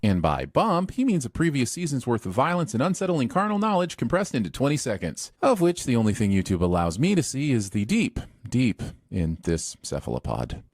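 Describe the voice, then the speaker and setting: A man's voice: medium pitch, wildly swooping pitch, a little fast, hardly any pauses. A man reading scripted, snarky commentary that mocks a TV series. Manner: snarky, mocking. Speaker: critic listing flaws in a series.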